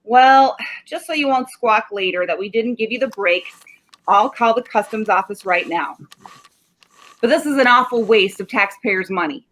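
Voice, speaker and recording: A woman acts out a stubborn homicide cop in a 1940s radio play. The voice sits fairly high for all its tough, slangy bluster. The delivery is sardonic and unimpressed. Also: normal speed, fairly bright tone, a little hiss or hum, natural voice, rough recording. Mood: skeptical.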